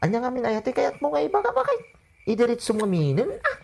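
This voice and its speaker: Wildly animated, cheeky Ilocano husband. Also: male voice, very high voice, fast, creaky, heavily acted. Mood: defensive, teasing. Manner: wisecracking, exclamatory, argumentative. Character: cheeky, stubborn husband and grown son, joking glutton. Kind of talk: comedy radio drama acting. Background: faint music.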